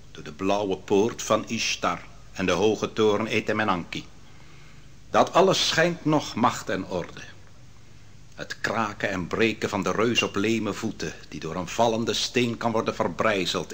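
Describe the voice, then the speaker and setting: An actor playing a grave, declamatory prophet pronouncing doom in a Dutch radio drama. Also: male voice, lowish voice, fairly bright tone, slight background noise. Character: solemn male prophet pronouncing doom on a king.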